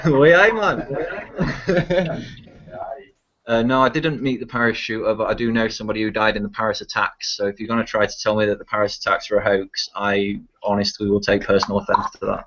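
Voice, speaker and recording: A man's voice: fairly even intonation, normal speed, long pauses, fairly bright tone. A young man from England with a Manchester accent, telling a long travel story in casual, rambling, swearing chat. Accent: Manchester English. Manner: rambling and laughing, swears freely. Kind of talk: casual chat on a live stream. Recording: muffled low-fidelity stream audio.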